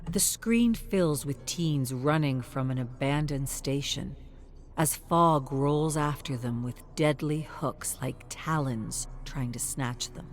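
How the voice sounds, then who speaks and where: A woman's voice: extremely low pitch, slow, soft background music. A woman reading scripted story narration as a narrator.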